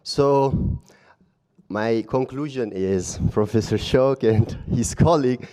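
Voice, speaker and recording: Non-native English-speaking man, slow and emphatic, mellow tone, audience rebuttal in a long-echo hall. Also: medium pitch. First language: not English. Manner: slow, emphatic, laughing at his own point. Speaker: audience member in a debate. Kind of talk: live debate rebuttal. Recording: reverberant hall.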